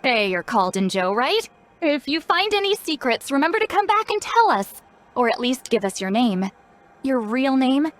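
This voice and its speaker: High-voiced, teasing game granny figure. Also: female voice, big pitch swings, normal speed. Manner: playful, knowing, refers to self as granny. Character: spry self-styled granny, youthful-sounding, teasing, caring, shrewd. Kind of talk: scripted video game dialogue. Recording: game music behind. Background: game music.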